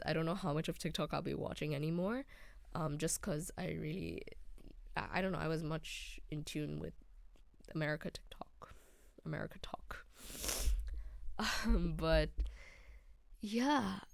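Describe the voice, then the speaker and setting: Young woman podcast host, low voice, dry close sound, lots of ums, laid back. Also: vocal fry, no echo. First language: not English. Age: young adult. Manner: relaxed, rambling, frequent ums. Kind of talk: casual solo chat.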